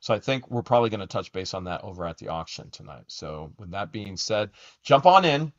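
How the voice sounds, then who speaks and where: A man co-hosting a casual commentary vlog, taunting and mocking, his voice swinging up into shouts. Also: fairly low pitch, sing-song, fairly quick, dull audio. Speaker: YouTube channel co-host.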